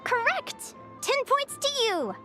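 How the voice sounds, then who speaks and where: Casting: young lady instructor, very high girlish pitch, lively swings, encouraging, video game. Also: slow pace, music in the background, full character voice. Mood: cheerful, encouraging. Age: young adult. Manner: teacherly, encouraging. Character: bright young female adventuring teacher, cheerful and encouraging.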